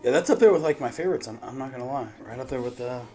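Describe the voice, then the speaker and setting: A man hosting a reaction channel in casual, slangy, excitable chat with some swearing. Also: mid-range voice, very fast. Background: background music.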